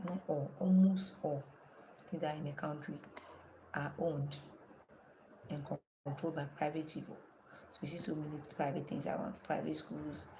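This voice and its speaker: A woman's voice: sits low, background noise. A woman teaching an online class with a strained voice, asking her students questions and repeating the key terms.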